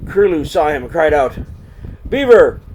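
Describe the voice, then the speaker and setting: Male storyteller for children's read-aloud: mid-range pitch, deliberate phrasing with pauses, noticeable air-conditioning noise in the recording. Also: expressive, darkish tone.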